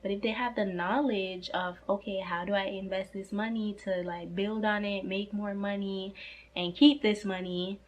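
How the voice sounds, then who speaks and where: A woman in her twenties with a slightly hoarse voice, talking earnestly and casually to camera about personal finance, leaning on fillers like "like" and "you know". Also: medium pitch, steady tone, moderate pace, dull audio. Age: 20s.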